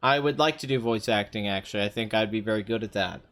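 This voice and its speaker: A young man with a somewhat high, nasal voice, strained and hoarse, chatting casually on his live stream and answering viewers' comments. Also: quick pace, a bit bright. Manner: chatty, rambling, often clears throat, reads chat aloud.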